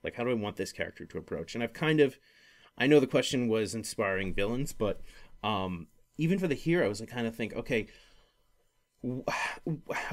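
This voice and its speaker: A man talking casually on a podcast about stories, halting and rambling as he thinks aloud and often interrupts himself. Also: on the low side. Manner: informal, self-interrupting, profanity-laced. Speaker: podcast speaker, comic creator. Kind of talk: casual podcast discussion of storytelling.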